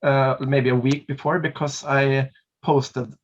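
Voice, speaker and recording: A man in his thirties talks through an interview on a video call, pausing on fillers and laughing softly between phrases. His voice sits at a middle pitch with an even, flat melody. The laptop-mic audio is slightly dull and lo-fi. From Norway. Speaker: singer and documentary subject. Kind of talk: casual interview answers over video call. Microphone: laptop webcam mic on a video call.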